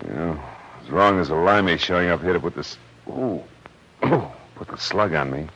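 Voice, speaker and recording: A man's voice: low voice, expressive, moderate pace, a bit bright, slightly rough, exaggerated voice. A man playing a tough, wisecracking private detective in 1940s radio style, wry, blunt and slangy. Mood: groggy, irritated. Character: hard-boiled male private eye, tough and wisecracking. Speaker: lead actor and narrator of a detective series. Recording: dull vintage radio recording with music.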